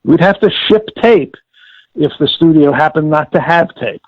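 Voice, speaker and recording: An older man being interviewed, explaining and reminiscing in a deliberate, halting way with formal wording and self-corrections, in a gravelly, husky voice. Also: a little high, animated. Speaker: interviewee, former audio producer-director. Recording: tinny phone-like line with room echo.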